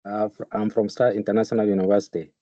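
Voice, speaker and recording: A man's voice: on the low side, flat delivery, quick pace, warm tone, no room sound. A man taking part in an online session, giving brief informal replies over a muffled, lo-fi call connection. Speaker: webinar participant. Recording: dull lo-fi online call audio.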